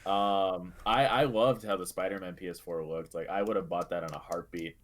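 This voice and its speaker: Deep-voiced man co-hosting a gaming podcast. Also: steady tone, a little fast. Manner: informal, conversational. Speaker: podcast co-host. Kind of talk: casual gaming podcast chat.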